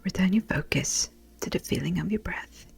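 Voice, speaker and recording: A woman with a breathy, whispery voice gently and soothingly guides a meditation in a measured way. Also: fairly low pitch, animated. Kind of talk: slow guided meditation narration. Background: music.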